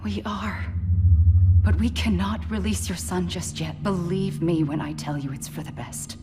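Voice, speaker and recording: A woman plays a coldly resolute leader in a cinematic game. Her voice sits on the low side, solemn and commanding, under very loud music. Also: fairly even intonation, a little slow, lightly acted. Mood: firm, cold. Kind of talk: cinematic video game acting.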